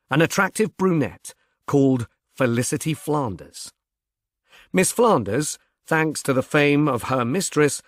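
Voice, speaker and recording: A man reading literary narration in a measured, formal, gently wry manner. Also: mid-range voice, no room sound, studio quality. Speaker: audiobook narrator.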